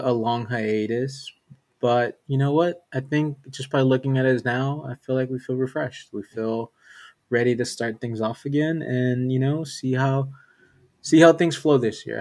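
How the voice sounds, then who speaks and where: Laid-back man hosting a podcast. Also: mid-range voice. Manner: relaxed, with many fillers and repeated words. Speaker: podcast host. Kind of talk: casual sports podcast chat.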